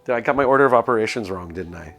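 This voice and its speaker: A man live-streaming a visual novel, reading text aloud and chatting in a self-deprecating way as he thinks out loud. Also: lowish voice, animated, rapid-fire, faint music behind. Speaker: game streamer.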